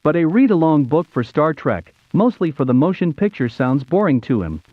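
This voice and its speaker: A man's voice: a little high, big pitch swings, moderate pace. An American man in his fifties narrating nostalgically in a scripted storybook style. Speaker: YouTube show host. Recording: tinny phone-like narrow-band sound.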